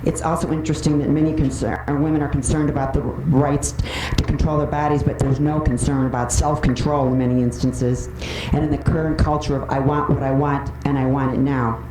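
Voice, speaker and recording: A woman's voice: rumbling low, level tone, fairly quick, few pauses. A woman giving a prepared public comment at a meeting, speaking in an earnest, pointed way. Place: indoor public meeting room. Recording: roomy, noisy, distant microphone with clicks.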